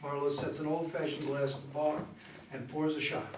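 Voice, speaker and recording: A man's voice: fairly high pitch, flat delivery, a little fast. A man narrating scripted stage directions at a play reading. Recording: roomy, distant mic, faint noise.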